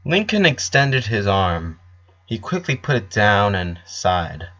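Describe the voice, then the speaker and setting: A man's voice: fairly low pitch, big pitch swings, slow, bright, forceful tone, lo-fi sound. A man reading a story aloud as narrator, in an amateur storytelling style.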